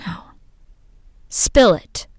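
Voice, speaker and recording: A determined, dry-witted woman questions slowly and deliberately. Her female voice sits at medium pitch, in radio drama style with a dry sound. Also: dry recording, in a whisper. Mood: pressing, wry. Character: determined, dry-witted daughter probing her father's fate.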